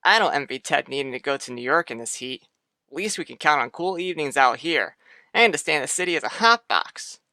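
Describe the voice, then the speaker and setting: An actor playing a breezy, jovial uncle in a vintage radio soap, talking in a casual, folksy way. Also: male voice, fairly high pitch, lively intonation, fairly quick, bright, forceful tone, dry recording, full character voice.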